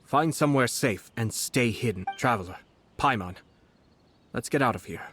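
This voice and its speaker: An actor playing a composed, sly male noble commissioner in a video game, speaking in a measured, polished, wry way. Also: medium pitch, animated, fairly bright tone, a little hiss or hum. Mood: calm. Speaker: game character.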